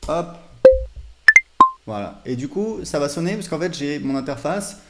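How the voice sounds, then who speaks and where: A man giving a casual, unscripted software demo as an online business coach, with loose, hesitant phrasing full of filler tags. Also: a little high, animated, measured pace, very noisy.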